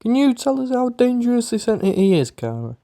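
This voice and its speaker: A man's voice: very high-pitched, very animated, fairly quick, dark tone, exaggerated voice. A man playing a rock radio DJ who presents news in a casual but urgent manner. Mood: composed. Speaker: radio host.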